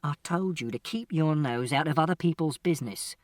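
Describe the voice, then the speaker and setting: A man's voice: on the high side, a little fast, dry recording, clean studio sound, recorded from a distance, natural voice. A man doing a stern, furious father. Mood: angry. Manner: angry, commanding, clipped. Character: stern exasperated father scolding his son.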